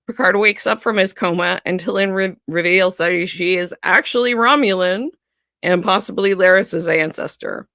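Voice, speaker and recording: A woman co-hosting a podcast, chatting casually about a TV series, animated and laughing often. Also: on the low side, normal speed, dry recording, clean studio sound. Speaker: podcast co-host. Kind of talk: casual fan discussion.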